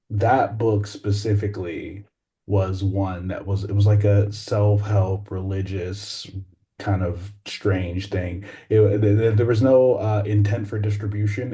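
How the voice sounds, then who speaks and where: Mellow, lowish-voiced man telling anecdotes. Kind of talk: casual anecdotal chat. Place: in a library.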